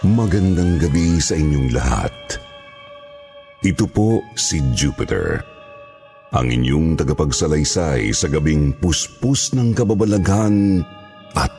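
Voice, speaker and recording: Very deep, slow theatrical horror narrator, male. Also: animated, darkish tone, soft background music. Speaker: horror story narrator and host. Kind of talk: dramatic scripted horror narration.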